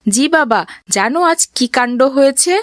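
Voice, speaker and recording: Woman as a high-voiced earnest cartoon boy. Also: animated, moderate pace, fairly bright tone, no room sound, clean studio sound. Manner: earnest, explains patiently. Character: pious, kind young boy who owns a robot. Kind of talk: children's cartoon voice acting.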